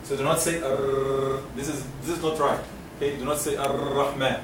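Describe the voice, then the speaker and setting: A man's voice: mid-range voice, level tone, moderate pace. A man from Egypt teaching Quran recitation to a class, patiently modeling each sound and having students repeat it. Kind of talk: classroom lesson with call-and-response drills. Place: lecture classroom. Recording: roomy classroom, mic far off, noisy.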